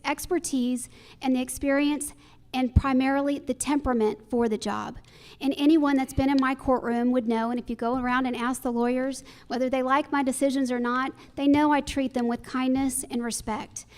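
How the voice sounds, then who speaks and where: A woman running for judge, giving measured, explanatory answers at a public candidate forum. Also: high voice.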